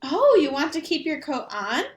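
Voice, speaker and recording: A woman's voice: high-pitched, very animated, normal speed, reverberant, pitched above the performer's own voice. A woman voicing a kindly, fussing old grandmother who cannot see or hear well. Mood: fond. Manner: fond, fussing and sing-song. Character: kindly old woman, half blind and half deaf, chatty.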